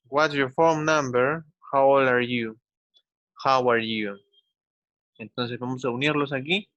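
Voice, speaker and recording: A man's voice: mid-range voice, fairly bright tone, no room sound, muffled recording. Didactic man teaching English. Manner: didactic, slowly enunciates English examples, often seeks agreement with tag questions. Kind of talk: instructional online class for children.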